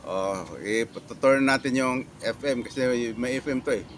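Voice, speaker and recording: Filipino man vlogging over background noise. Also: medium pitch. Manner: repetitive, halting with catchphrases. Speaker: product reviewer. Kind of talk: casual product demo vlog.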